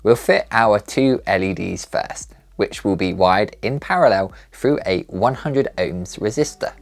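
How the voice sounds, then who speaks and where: Low-voiced man narrating a tutorial. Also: measured pace, creaky. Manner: methodical, instructional. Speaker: maker channel presenter. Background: background music.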